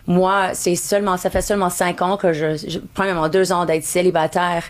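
Anglophone woman comedian in French, lowish voice. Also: flat delivery, brisk. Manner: second-language French, mixes in English words, frequent restarts. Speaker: comedian guest. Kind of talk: casual interview chat.

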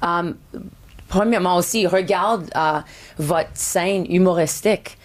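Anglophone woman comedian in French, lowish voice. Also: takes it slowly. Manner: second-language French, mixes in English words, frequent restarts. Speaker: comedian guest. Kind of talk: casual interview chat.

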